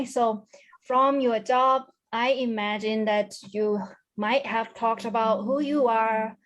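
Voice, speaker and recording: A young woman hosting a student podcast, with a polite, measured way of asking questions. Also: fairly high pitch. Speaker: podcast host. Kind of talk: scripted intro then interview questions.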